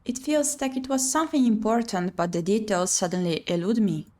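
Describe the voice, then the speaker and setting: A woman's voice: medium pitch, a little fast, a little mellow. A woman playing a visual novel on her channel, reading the text aloud and adding chatty commentary. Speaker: video game streamer.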